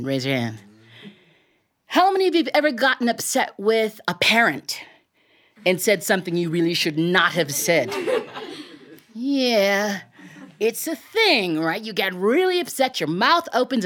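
A woman's voice: mid-range voice, fairly bright tone. An American woman telling a story live to an audience in a colorful, playful, theatrical way. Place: recorded live in a radio studio before an audience.

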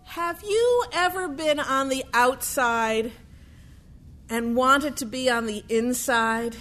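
A woman telling a true story as a storyteller, with deliberate, measured phrasing. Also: high-pitched, a bit bright, faint music behind.

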